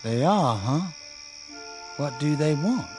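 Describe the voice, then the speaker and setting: Radio drama: stubborn rural Southern young man, unhurried delivery, very lively intonation, folksy. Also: on the high side, music in the background. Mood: stubborn, unbothered. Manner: slow folksy drawl, plain-spoken, stubborn. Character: defiant young country man suspected of being undead.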